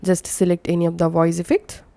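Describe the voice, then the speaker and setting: A woman with a slightly low voice narrates a software tutorial. She gives instructions one step at a time, as the presenter of a screen-recorded how-to. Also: completely flat delivery, fairly quick, vocal fry, no echo. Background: screen-recording voice-over.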